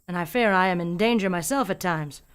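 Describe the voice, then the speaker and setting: An actress playing a fearful but stubborn older rural woman in a radio play, speaking gravely and deliberately. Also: on the low side, natural voice. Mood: uneasy, resolute. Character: fearful, stubborn old rural woman guarding alien secrets.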